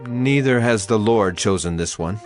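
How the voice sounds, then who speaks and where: A man's voice: lowish voice, moderate pace, natural voice. An actor playing a grave, resolute male prophet with a formal manner in a dramatized Bible story. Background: music.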